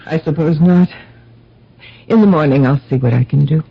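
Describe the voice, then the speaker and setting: An actress playing a proud, anxious middle-aged mistress of a house in a radio drama, speaking formally and theatrically. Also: female voice, low voice, normal speed, slightly rough. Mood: composed, reflective. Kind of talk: dramatic radio storytelling and acting. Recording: dull vintage radio, music underneath.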